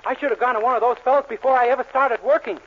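A man's voice: very high-pitched, steady tone, dull audio. Naive, eager young man. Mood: innocent. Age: young adult. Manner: innocent, earnest, quick. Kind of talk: 1940s radio comedy acting. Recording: scratchy 1940s radio broadcast with loud hiss.

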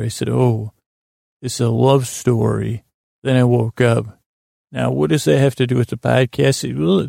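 A man hosting a podcast, rambling through a bedtime monologue in a meandering, halting, self-deprecating way with a gravelly voice. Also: medium pitch, mellow, dry recording, clean studio sound. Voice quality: gravelly, creaky. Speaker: podcast host. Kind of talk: rambling bedtime monologue to help listeners sleep.

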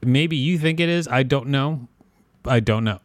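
A man's voice: medium pitch, moderate pace, dry recording. A man hosting a podcast, reading an encyclopedia page aloud and commenting with playful, theatrical flair. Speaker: podcast host.

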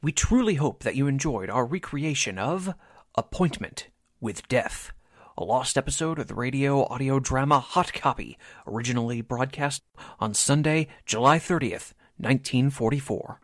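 A man's voice: mid-range voice, animated, moderate pace, dry recording, studio quality. A man working as a radio drama announcer, reading scripted show narration.

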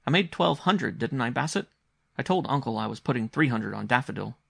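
Storybook young boy, played by a man: raised pitch, grave, earnest, insistent, very dry close sound. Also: animated, fairly quick, gravelly, a bit bright, husky, no room sound, full character voice. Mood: earnest, serene. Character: earnest, intense young boy, secretive gambler.

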